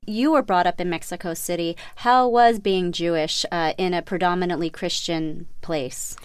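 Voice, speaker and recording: A woman conducting a conversational interview, phrasing her questions in a thoughtful, measured way. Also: lowish voice, steady tone, clear, resonant tone, no echo, studio quality.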